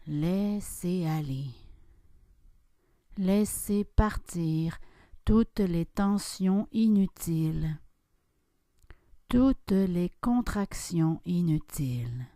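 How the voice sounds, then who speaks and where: A woman guiding a yoga nidra relaxation, giving calm, soft instructions with long pauses between phrases. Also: lowish voice, no echo, studio quality. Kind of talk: guided relaxation meditation.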